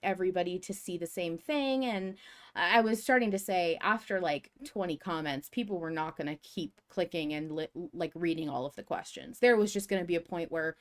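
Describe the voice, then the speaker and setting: A woman co-hosting a casual fan podcast, talking in an animated, emphatic and rambling way with informal language. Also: fairly low pitch, fairly quick. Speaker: podcast co-host.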